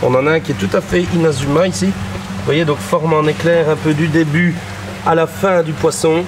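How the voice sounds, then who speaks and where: A man's voice: a little high, fairly even intonation, moderate pace. A man hosting a travel vlog in a chummy, exclamatory, joking way. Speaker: host of a koi-buying travel show. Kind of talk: casual vlog presenting. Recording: loud background noise, vehicles.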